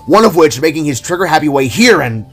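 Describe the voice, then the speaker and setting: An excitable young cop, as a man puts him on, pitched well above his normal voice and tumbling over his words. He sounds frantic and flustered and stammers as he loses his thread, all in a homemade fan audio drama. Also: quick pace, soft background music, full character voice.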